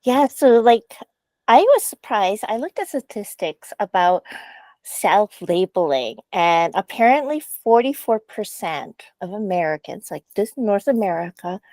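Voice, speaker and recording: A woman's voice: mid-range voice, sing-song, a little slow, darkish tone, no echo. A woman hosting a casual podcast panel over a video call, asking loose, friendly questions with lots of fillers. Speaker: podcast host.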